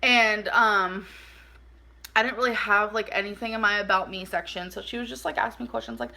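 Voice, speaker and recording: A woman chatting casually through a personal story for a vlog, animated and informal, saying "like" a lot and acting out bits of dialogue. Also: medium pitch, normal speed, gravelly. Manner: animated, informal, acts out dialogue, heavy use of "like". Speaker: vlogger telling a personal story.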